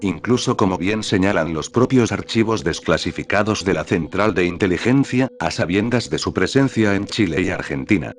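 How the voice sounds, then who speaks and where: A man reading scripted documentary narration as the narrator, in a measured, serious manner. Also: deep voice, expressive.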